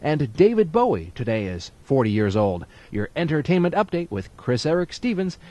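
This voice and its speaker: A man's voice: on the high side, sing-song, moderate pace, warm tone, dry recording. A man working as a radio DJ in a studio, giving playful, folksy announcer patter for a 1980s music show. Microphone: studio broadcast microphone.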